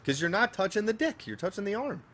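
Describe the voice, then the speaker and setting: A man's voice: high voice, fast. A man from Northern Virginia with a general American accent, co-hosting a casual podcast and joking crudely with his co-host. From Northern Virginia, USA. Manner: crude, swearing, animated and joking. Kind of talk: casual chat.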